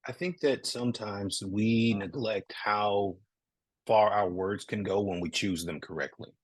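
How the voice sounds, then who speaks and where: A man's voice: fairly low pitch, unhurried, husky. A man from Southern California, a comic creator speaking earnestly in a casual podcast interview, often checking in with "right?".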